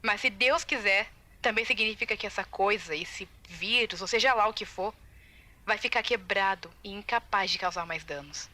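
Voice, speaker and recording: A woman's voice: medium pitch, moderate pace, bright, forceful tone, close to an everyday voice. A woman with a Brazilian accent playing an anxious, determined game tester who leaves secret warning tapes in a confiding, urgent way, in a video game fan dub. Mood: worried, pleading. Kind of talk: recorded audio-log monologue, video game fan dub.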